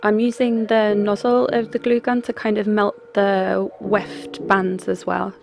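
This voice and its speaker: A young adult doll artist talking through their craft in a relaxed, chatty way, with plenty of ums and restarts. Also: female voice, medium pitch, unhurried, creaky. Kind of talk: casual vlog talk and craft voice-over. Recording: music bed under the voice.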